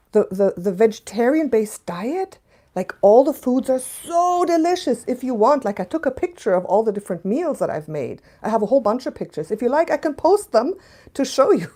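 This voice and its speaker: A woman in her late forties with a mixed Canadian, German and Scottish accent, talking casually to viewers on a live stream and laughing often. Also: fairly high pitch. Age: 40s. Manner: chatty, laughs often, shifts between hushed and bright. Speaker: channel host reading viewer comments.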